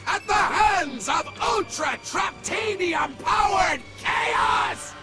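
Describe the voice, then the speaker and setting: A megalomaniac little dark wizard from a cartoon game, shrill and high. He proclaims bombastically and slowly, often close to shouting, with big swoops of pitch and music behind him. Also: animated, exaggerated voice. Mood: triumphant menace. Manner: pompous, bombastic, taunting. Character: short, bald, megalomaniac male evil dark sorcerer. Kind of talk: over-the-top cartoon villain acting.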